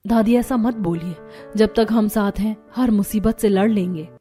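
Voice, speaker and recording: A woman's voice: medium pitch, normal speed, warm tone, soft background music, natural voice. A woman playing a resourceful young daughter-in-law in a cartoon story, earnest, respectful and determined. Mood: reassuring. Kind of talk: Hindi cartoon story voice acting.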